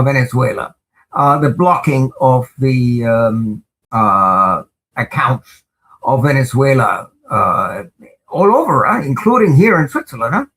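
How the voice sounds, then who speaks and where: Measured older man, Spanish native, halting. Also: mid-range voice, a bit bright, rough recording. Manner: measured, frequent "uh" pauses, emphatic. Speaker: guest, international lawyer. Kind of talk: interview answers, recounting experiences.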